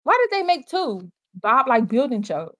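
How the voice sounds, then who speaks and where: A woman's voice: fairly high pitch, big pitch swings, moderate pace, a bit bright. A woman co-hosting a podcast, ranting and joking in casual, profane banter. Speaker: podcast co-host.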